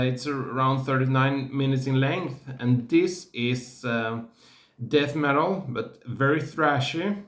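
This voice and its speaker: Guy talking slow about tapes, lots of uhs, kinda echoey room. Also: mid-range voice. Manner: slow, hesitant, many fillers. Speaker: music reviewer. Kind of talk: casual spoken review.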